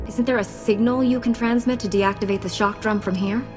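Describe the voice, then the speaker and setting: A woman's voice: medium pitch, fairly even intonation, brisk, a little mellow, lightly acted. An actress playing a hard, vengeful female Jedi knight in a video game, curt and cold. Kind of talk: video game cutscene acting. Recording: long hall reverb, music behind.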